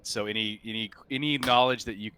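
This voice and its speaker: A man's voice: on the low side, steady tone, brisk, sharp tone. A man narrating a tabletop roleplaying game as game master, improvising the scene.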